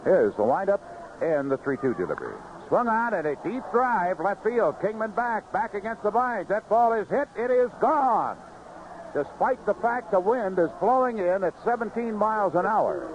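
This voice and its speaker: An American man calling baseball play-by-play live on the radio in clipped phrases, in an animated manner. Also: high-pitched, normal speed. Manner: animated calls rising sharply on big plays, clipped phrases. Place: ballpark broadcast booth. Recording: noisy, muffled vintage AM radio broadcast.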